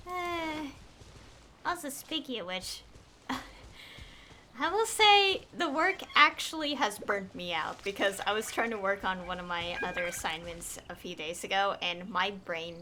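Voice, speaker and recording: A woman's voice: mid-range voice, animated, measured pace, sharp tone, a little hiss or hum. A young woman streaming a game, chatting casually in a rambling, hesitant way. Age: young adult. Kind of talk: casual livestream commentary.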